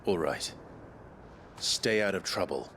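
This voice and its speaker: An actor playing a brooding, determined young warrior and protective brother in a video game, with a terse, dry manner. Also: male voice, lively intonation, takes it slowly, a little hiss or hum, full character voice. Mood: grim, dry. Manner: terse, dry, swinging from low mutter to shouts.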